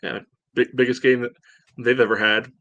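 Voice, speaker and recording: A man's voice: mid-range voice, level tone, normal speed, pushed and bright, lo-fi sound. A man co-hosting a soccer podcast, chatting through analysis in a loose, conversational way. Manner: conversational, peppered with "you know". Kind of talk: casual sports analysis chat.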